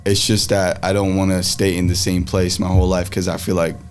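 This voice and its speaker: A man's voice: low voice, flat delivery, fairly quick. A young man whose first language is Farsi, a student chatting in an interview at a campus open house, hesitant with many fillers and restarts. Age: young adult. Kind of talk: casual interview chat.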